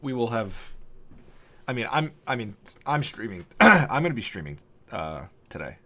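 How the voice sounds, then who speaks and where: A man's voice: mid-range voice, big pitch swings, normal speed, pushed and bright. A man hosting a podcast in casual, conversational chat. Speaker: podcast host. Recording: clear background room noise.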